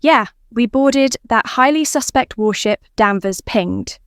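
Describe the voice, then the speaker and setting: Brash, sarcastic male space creature for story narration, voiced by a woman, clear tone, dry sound. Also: fairly high pitch, slow pace, no echo. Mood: snarky.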